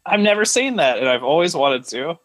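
A man's voice: on the high side, big pitch swings, a little fast, a bit bright, dry recording. A man co-hosting a podcast in casual chat, playfully riffing with short quips. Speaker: podcast co-host.

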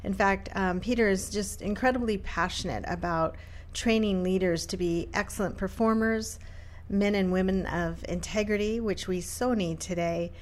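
A woman's voice: mid-range voice, normal speed. A woman hosting an interview, introducing her guest in an upbeat way with casual fillers. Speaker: host, institute president.

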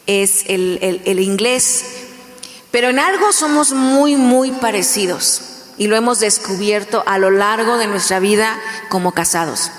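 Mexican woman speaking in an echoey hall. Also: medium pitch. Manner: chatty, personal, with fillers. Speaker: pastor's wife. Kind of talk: sermon. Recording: echoey hall with long reverb.

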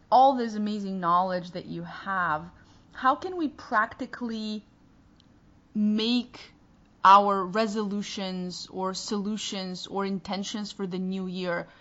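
A woman's voice: medium pitch, takes it slowly, a bit bright, lo-fi sound. A woman with a Bulgarian accent, a native Bulgarian speaker, hosting a podcast interview and searching thoughtfully for her words. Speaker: podcast host.

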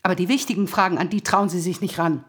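A woman's voice: fairly low pitch, fairly even intonation, fast, slightly rough. An actress playing a stern female police detective in an audio drama, confrontational, sarcastic and indignant as she interrogates. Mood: contemptuous, accusing.

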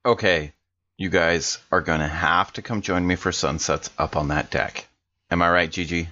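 Slightly muffled audio carries a man acting a laid-back young man in a tabletop roleplay. The voice sits low, and the delivery is relaxed, casual and wry. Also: moderate pace. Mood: easygoing.

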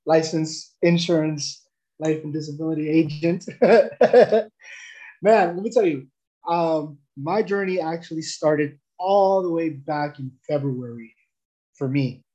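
A man's voice: on the high side, slow, warm tone, rough recording. A young man from El Salvador giving a casual, rambling testimonial, full of fillers and restarts.

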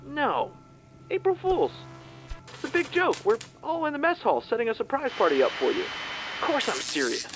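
A man's voice: very high-pitched, big pitch swings, moderate pace, music in the background, exaggerated voice. A man playing a prickly, sarcastic tactical officer who argues with a rival in a science fiction audio drama. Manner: sarcastic, argumentative. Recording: clear vehicle-like background noise.

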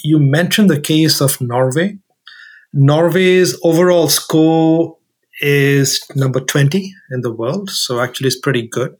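A man's voice: fairly high pitch, a little slow. A man speaking as an expert interview guest, explaining things methodically and setting out his points in order.

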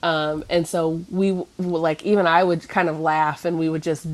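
A woman's voice: low voice, little pitch movement, normal speed. A woman in her thirties chatting casually, sounding stuffy and nasal from a cough, rambling with many fillers and restarts. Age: 30s. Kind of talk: casual chat.

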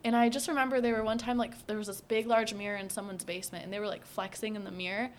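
A young woman telling personal stories in a casual chat, animated and laughing often. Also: mid-range voice, fast. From United States, Iranian parents.

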